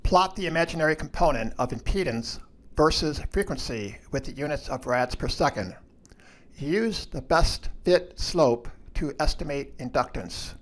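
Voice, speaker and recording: Male instructional narrator, slightly high voice, measured procedural delivery for a technical tutorial. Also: expressive, a bit bright.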